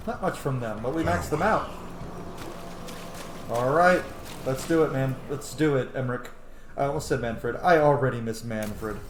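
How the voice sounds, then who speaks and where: A man's voice: medium pitch, moderate pace, long pauses, a little hiss or hum. Casual male gamer, echoey room. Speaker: game streamer. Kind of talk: casual playthrough commentary. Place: small room at home, indoors. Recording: echoey room, game music behind.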